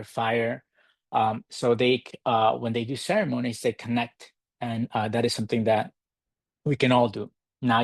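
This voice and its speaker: A man from Ecuador leads a live online workshop from home, speaking over a video call in an easygoing, halting manner with plenty of ums and uhs. His voice sits at an ordinary middle pitch for a man. The sound is dry and close, with no room echo. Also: creaky. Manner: relaxed, halting, many fillers. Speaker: workshop host. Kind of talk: live virtual workshop demo. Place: home in a city. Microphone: computer mic on a video call.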